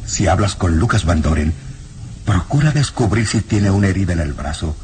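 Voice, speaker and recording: An actor playing an earnest, vengeful village peasant in a radio serial, speaking emphatically and insistently. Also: male voice, deep voice, animated, normal speed, a little mellow. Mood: urgent, insistent. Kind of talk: old radio serial acting. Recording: noisy old radio serial recording.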